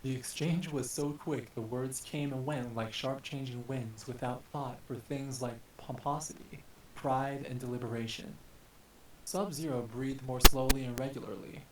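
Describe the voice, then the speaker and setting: A man's voice: mid-range voice, steady tone, moderate pace. Male story narrator in an echoey room. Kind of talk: dramatic narration of an action story. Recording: echoey, distant mic with hiss.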